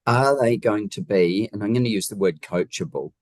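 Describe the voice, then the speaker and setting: Deep-voiced New Zealand man, emphatic talker. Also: moderate pace, no echo. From Wellington region, New Zealand. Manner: emphatic, illustrates points with rhetorical questions. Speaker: guest, home designer. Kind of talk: conversational interview answers.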